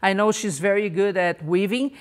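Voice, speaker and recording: A woman's voice: medium pitch, normal speed. A woman hosting a live podcast interview, enthusiastic and chatty, talking over a video call. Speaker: podcast host.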